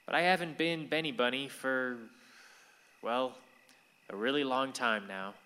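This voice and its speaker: A man's voice: medium pitch, unhurried, bright, forceful tone, smooth. A man playing a wistful, awkward young man who confides his thoughts in a radio play. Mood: wry, confiding. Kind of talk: radio play monologue and dialogue. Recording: reverberant hall sound.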